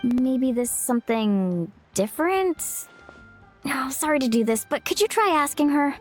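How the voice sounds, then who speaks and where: A woman voicing a cheerful young woman who hosts a party in a video game, breezy and lively. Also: sits high, measured pace, soft background music. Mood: concerned. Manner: breezy, lively, warm toward friends.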